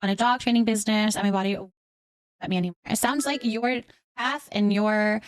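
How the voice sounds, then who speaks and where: A woman's voice: medium pitch, fairly even intonation, fairly quick, clear, resonant tone, no echo. A Canadian woman hosting a casual livestream, reading out and answering comments in an affectionate, encouraging way. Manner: affectionate, encouraging, addresses listeners with endearments. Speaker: livestream host.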